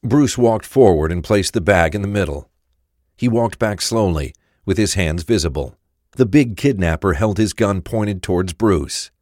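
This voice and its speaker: A man's voice: deep voice, expressive, normal speed, a little mellow, no room sound, clean studio sound. A man reading scripted narration for an audio drama. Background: music.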